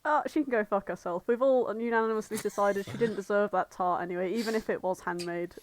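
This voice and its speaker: A woman's voice: mid-range voice, quick pace, a little hiss or hum. A woman co-hosting a podcast, chatting casually in a blunt, slangy, sweary way. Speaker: podcast co-host. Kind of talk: casual chat.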